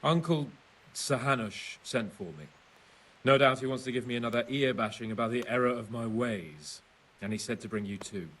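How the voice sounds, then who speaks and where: A man's voice: mid-range voice, moderate pace, fairly bright tone, far from the mic, slight background noise, natural voice, poor audio. An actor playing a cocky, vain young nobleman in a medieval video game, teasing and condescending. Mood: wry, resigned. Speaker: young lord.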